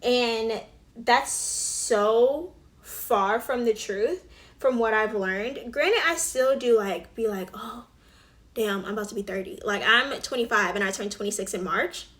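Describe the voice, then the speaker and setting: Young woman, mid-twenties, a touch high-voiced, chatty get-ready-with-me vlogger full of fillers and asides. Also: measured pace, a bit bright. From Alabama. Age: 20s. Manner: chatty, filler-heavy, drops to whispered asides. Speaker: beauty vlogger. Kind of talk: casual get-ready-with-me chat.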